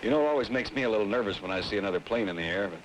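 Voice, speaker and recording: A man's voice: lowish voice, expressive, very fast, close to an everyday voice, low-quality recording. An American man acting as a chatty, inquisitive frequent flyer in an old educational film, with a folksy manner. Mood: curious. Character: curious, chatty male frequent flyer, easily impressed. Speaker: actor as airline passenger. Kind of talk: scripted 1960s educational film dialogue. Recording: old 1960s film soundtrack, faint noise.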